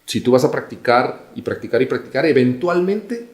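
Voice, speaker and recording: A man in his forties with a Mexican accent, giving career advice as an interview guest in a measured, explanatory way. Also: mid-range voice, lively intonation, reverberant. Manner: measured, explanatory, repeats phrases for emphasis.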